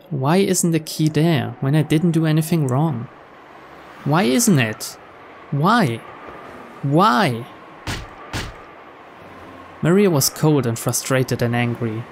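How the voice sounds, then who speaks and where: A man's voice: mid-range voice, a little hiss or hum. A man reading a visual novel's story aloud as scripted narration. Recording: soft music behind the voice.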